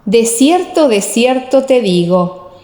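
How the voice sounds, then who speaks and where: A solemn teacher speaks with authority in a Bible audio drama , voiced by a woman. The delivery is emphatic and declarative. The pitch is on the higher side. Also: animated, takes it slowly, warm tone, echoey room, in a large hall. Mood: solemn teaching.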